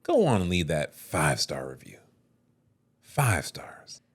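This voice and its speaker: Animated podcast co-host man. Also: slow, husky. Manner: playful, animated, swings up high when joking. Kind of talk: casual podcast chat.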